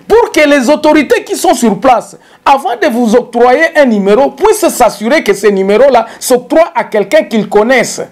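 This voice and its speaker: A man's voice: very high-pitched, darkish tone. A middle-aged man, hosting a solo political commentary to camera in a small studio, talking emphatically and repeating words as he searches for them. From DR Congo. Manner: emphatic, gesturing, stammering repetitions. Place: small studio with dark backdrop.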